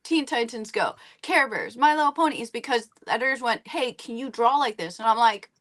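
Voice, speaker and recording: A middle-aged woman chatting casually as an interview guest, animated, chatty and a little self-deprecating. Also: on the high side, brisk. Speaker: interview guest, comic book artist.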